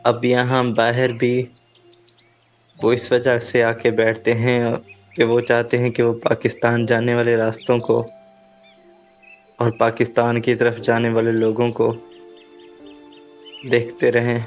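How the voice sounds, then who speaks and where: A man's voice: medium pitch, completely flat delivery, measured pace, pauses often, dull audio, faint music behind, lightly acted. An actor playing an earnest young man defending his old father in an audio drama. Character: devoted young man, son of an old villager.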